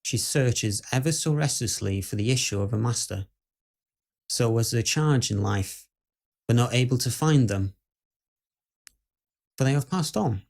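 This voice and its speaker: A proper Victorian gentleman played by a male actor delivers a dramatic ghost-story monologue in a mid-pitched voice. His phrasing is measured and old-fashioned, that of a skeptic who is still quietly full of dread. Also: creaky. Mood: solemn, dread.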